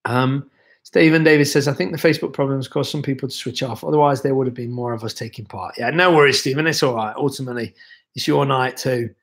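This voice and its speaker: A man's voice: mid-range voice, fast. A young man hosting a casual livestream, chatting loosely and reading out viewer comments, his voice a bit worn from being ill. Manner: relaxed, rambling, chatty with many fillers. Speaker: livestream host.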